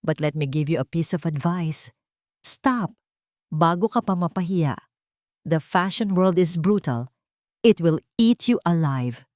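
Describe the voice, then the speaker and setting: A woman's voice: fairly low pitch, expressive, a little slow, pauses often, darkish tone, no room sound, clean studio sound. A woman voicing a haughty, contemptuous young rich woman in a drama story, sneering and condescending. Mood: menacing. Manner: sneering, condescending, mixing English into Tagalog.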